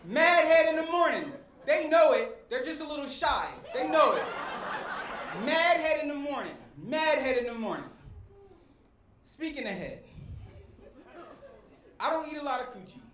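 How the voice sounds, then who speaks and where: A man's voice: extremely high pitch, sing-song, measured pace, long pauses, a bit bright. A middle-aged man from West Philadelphia doing stand-up, working the crowd in a preacher-like cadence. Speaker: stand-up comedian. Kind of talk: stand-up set. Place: comedy club stage. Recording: echoey club with faint crowd noise.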